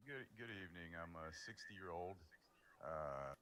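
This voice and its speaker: A man calling in and speaking slowly and hesitantly. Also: sits low, expressive, sharp tone, a little hiss or hum.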